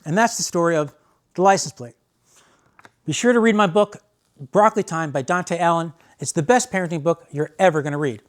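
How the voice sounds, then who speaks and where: An American man hosting and telling stories casually, in an animated way with phrases repeated for emphasis. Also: on the high side, brisk. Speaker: host and storyteller. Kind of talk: casual spoken storytelling.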